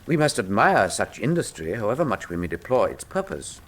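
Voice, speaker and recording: An actor playing a brilliant, cool Victorian detective, speaking formally and with dry wit in a radio drama. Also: male voice, lowish voice, big pitch swings, fairly quick. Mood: dry, analytical. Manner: precise, formal, dryly witty. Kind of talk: full-cast radio drama acting.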